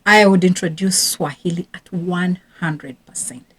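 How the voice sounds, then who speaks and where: A woman's voice: fairly low pitch, measured pace, a bit bright, slightly rough. A woman from Rwanda, a teacher and parenting coach, talking casually and earnestly to camera about her own parenting regrets. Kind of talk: casual advice talk to camera.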